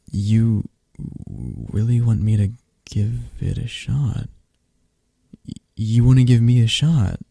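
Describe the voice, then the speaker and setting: A man doing a shy, tender best friend who talks softly and stumbles over his words, his low voice dark and gravelly. He takes his time, unhurried and hushed, close and dry on the mic. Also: dry recording, full character voice. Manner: soft-spoken, stammering, gentle. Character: shy affectionate young adult male best friend. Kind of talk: ASMR roleplay.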